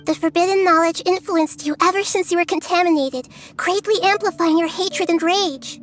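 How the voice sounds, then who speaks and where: A gentle young goddess in a video game, with a high girlish voice. She speaks with composed, measured formality and quiet wisdom, over faint music. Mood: calm, earnest. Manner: calm, measured, earnest, formal. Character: small gentle young girl deity, wise and composed. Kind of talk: English video game voice acting.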